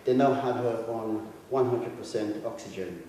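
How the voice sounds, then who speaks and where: A man giving a measured, deliberate reading of literary prose, with dramatic phrasing and pauses, as an author reading a short story. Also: lowish voice, dark tone. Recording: echoey hall, distant mic, faint noise.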